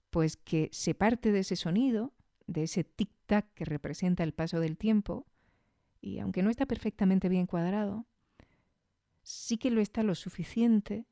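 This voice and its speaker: Mellow, low-voiced Spanish woman, close and dry. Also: no room sound. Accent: Spain. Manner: reflective, conversational, often seeking agreement with tag questions. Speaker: podcast host and reviewer. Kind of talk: casual spoken review of audio fiction.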